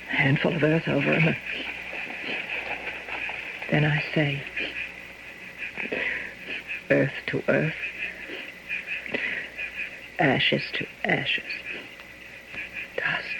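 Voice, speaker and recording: A woman's voice: sits low, creaky, full character voice. An actress playing a determined, stoic young woman in a radio drama, resolute and commanding. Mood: solemn. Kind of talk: old-time radio drama acting. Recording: noisy old-style radio drama recording.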